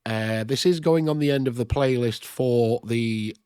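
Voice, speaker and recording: A man's voice: mid-range voice, unhurried, a little mellow, clean tone, no room sound, studio quality. A man with a stuffed-up, nasal voice from a cold, hosting a chatty game tutorial and thinking aloud. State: congested with a cold. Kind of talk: casual screen-recorded game tutorial.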